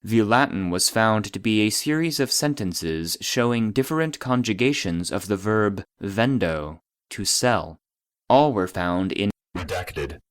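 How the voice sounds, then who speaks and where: An actor voicing an impersonal database that reads out classified reports in a measured, official, detached manner. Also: male voice, fairly low pitch, lively intonation, dry recording, clean studio sound. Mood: clinical, detached. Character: formal, impersonal male database voice reading classified logs. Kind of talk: scripted narration of clinical reports.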